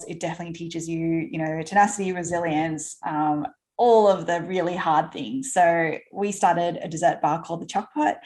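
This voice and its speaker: An Australian woman in her thirties talks casually as a podcast guest, her voice sitting a little low. She laughs easily and fills her sentences with ums and restarts. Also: a little mellow. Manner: chatty, laughs often, many fillers and restarts. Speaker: guest entrepreneur. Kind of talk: casual conversational interview.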